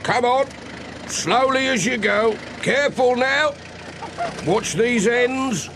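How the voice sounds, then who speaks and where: A man's voice: very high-pitched, slow pace, background noise, voice pushed up, full character voice. A man voicing a fussy village policeman in an audiobook, calling out short orders. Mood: bossy, careful. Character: busy village policeman directing traffic.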